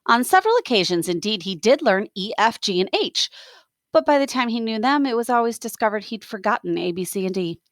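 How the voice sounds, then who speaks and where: A woman reading a story aloud as a teacher, expressive, with explanatory asides. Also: a little high, a little fast, dry recording, clean studio sound.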